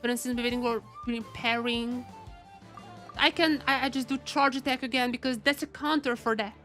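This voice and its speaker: High, bright-voiced woman streaming a game. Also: fairly quick, soft background music. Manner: expressive, mock-outraged, repeats phrases. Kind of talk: casual live gameplay commentary with exclamations.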